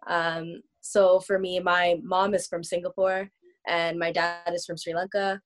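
A woman's voice: fairly low pitch, flat delivery, measured pace. A woman from Canada, a guest on a podcast, talking in a casual, earnest way and laughing easily. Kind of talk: casual chat.

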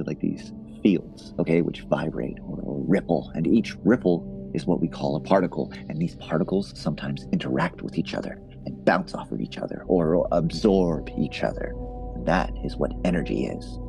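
An actor playing a defensive, awkward man in his thirties obsessed with ghosts, speaking haltingly in a naturalistic audio drama style. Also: extremely low pitch, creaky. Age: 30s. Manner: hesitant, halting, self-correcting.

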